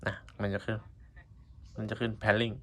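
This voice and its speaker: A man's voice: fairly low pitch, little pitch movement, a little slow. A man giving a casual hands-on tutorial, explaining informally step by step in short phrases. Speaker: vlogger giving a product tutorial.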